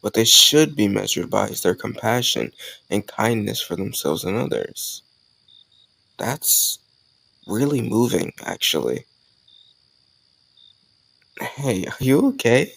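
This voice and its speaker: A man playing an easygoing male college student who chats casually and teases gently in an intimate romantic audio roleplay. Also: on the low side, very animated, slow pace, vocal fry, long pauses, slightly rough, full character voice, low-quality recording. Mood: soft, sincere. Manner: casual, conversational, gently teasing.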